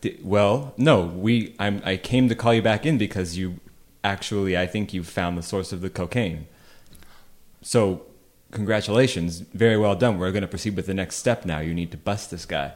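A man's voice: sits low, moderate pace, darkish tone, close to an everyday voice. A man playing an exasperated police handler running an undercover sting, stammering but insistent, in an improvised comedy radio play. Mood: awkwardly insistent.

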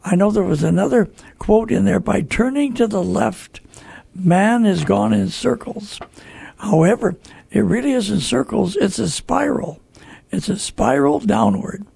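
An older American man with a gravelly, husky voice, hosting a radio talk show in a folksy, conversational way. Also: high voice, measured pace, darkish tone, no room sound. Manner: folksy, addresses listeners as folks.

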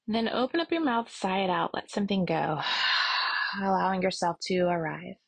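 A woman leading a guided meditation and prayer as an instructor, speaking softly and soothingly with long, unhurried pauses. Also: mid-range voice, animated, creaky, husky, no echo, rough recording.

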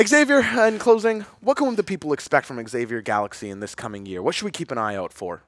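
A man's voice: on the high side, very animated, fast talker, no echo. A man co-hosting a wrestling podcast, teasing his guests in a wry way. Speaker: podcast co-host. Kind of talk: casual podcast interview.

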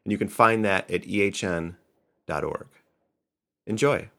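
A man introducing the podcast episode in a measured, scripted way. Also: lowish voice, vocal fry, sharp tone. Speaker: podcast host.

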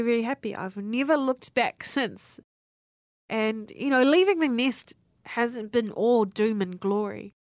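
A 19-year-old woman hosts a student radio show on her own. She talks in a candid, conversational way and drops casual swears. Her voice sits at an ordinary middle pitch, and the recording is dry, with no room echo. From New Zealand. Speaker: radio host. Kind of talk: personal monologue for student radio.

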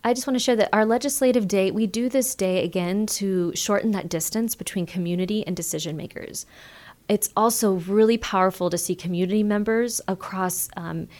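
A woman's voice: mid-range voice, fairly quick, darkish tone. A woman community organizer speaking earnestly as a radio interview guest.

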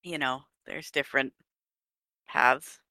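Bright, dry-sounding podcast co-host. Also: medium pitch, steady tone, slow, no room sound. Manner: chatty, laughs often, self-deprecating. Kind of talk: casual chat.

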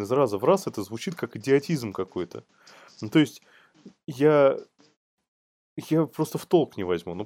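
A man's voice: mid-range voice, darkish tone, dry recording. A man co-hosting a casual chat about the news, speaking in an informal, colloquial way. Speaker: podcast co-host.

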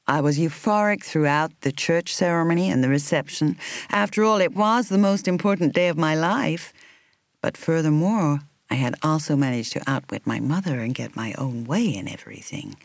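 A woman reading an audiobook in the role of a reflective woman in her thirties recalling her romance, in a measured, intimate, expressive way. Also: deep voice, gravelly, no room sound, studio quality. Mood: fond, reflective. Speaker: audiobook reader. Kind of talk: scripted first-person narration.

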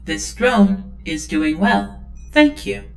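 A woman's voice: fairly low pitch, big pitch swings, slow, roomy sound. A woman voicing an obedient, polite female android servant in an audio drama, formal and artificial, with a synthetic speaker effect. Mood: serene, obedient.

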